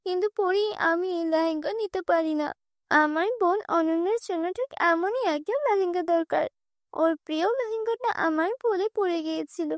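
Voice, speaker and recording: A woman voicing a kind, humble young heroine in a cartoon story, with an earnest, soft-spoken manner. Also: extremely high pitch, normal speed, dry recording, clean studio sound.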